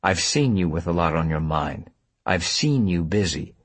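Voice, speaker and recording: A man's voice: low-pitched, lively intonation, moderate pace, husky, no echo. A man narrating a story, playing a patient but stubborn man who speaks directly and steadily. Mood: probing. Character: calm, stubborn, patient man pressing for answers.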